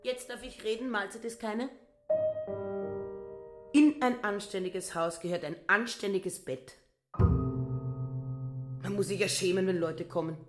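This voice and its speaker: An actress playing a petty, self-righteous housekeeper turned wife in a radio drama, indignant and insistent. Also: female voice, mid-range voice, slow pace, pauses often, over loud music, close to an everyday voice. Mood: complaining.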